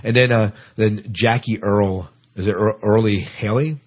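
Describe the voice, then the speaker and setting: A man hosting a casual podcast, chatting in a halting way and repeating words as he thinks. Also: fairly low pitch, fairly even intonation. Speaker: podcast host; teacher. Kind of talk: casual co-hosted podcast chat.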